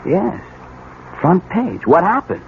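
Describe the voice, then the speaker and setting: An actor playing a troubled, earnest college-age son in a 1970s radio drama. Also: male voice, high voice, sing-song, slow pace, gravelly, full character voice. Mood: uneasy. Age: young adult. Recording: muffled, noisy old radio recording.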